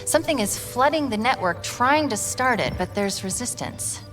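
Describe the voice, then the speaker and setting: A woman playing a curious, chirpy young AI companion in a video game, playful and quick-witted. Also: fairly high pitch, expressive, a bit bright. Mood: bright, curious. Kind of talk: cinematic video game acting. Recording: music behind the voice.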